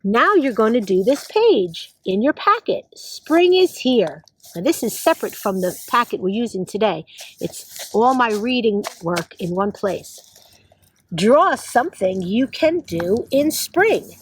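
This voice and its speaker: A woman's voice: mid-range voice, sing-song, unhurried. A woman teacher leading an outdoor lesson for young children, talking and reading aloud in simple, sing-song phrases. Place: outdoors on a nature walk. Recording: clearly noisy outdoor recording.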